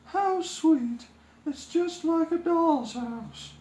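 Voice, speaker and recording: A man's voice: very high-pitched, expressive, extremely slow, darkish tone, roomy sound, slight background noise, voice pushed up, full character voice. A man voicing a sweet, innocent young princess in a storybook reading, polite and wide-eyed. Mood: delighted. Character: sweet, innocent young fairy-tale princess, gushing and gentle.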